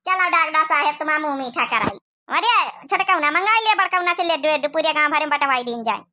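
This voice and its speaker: A woman's voice: high voice, animated, a little fast, noisy background. A woman voicing a fussy, indulgent old village mother-in-law in an Awadhi cartoon comedy, with a rustic accent and exclamatory way of talking. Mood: delighted.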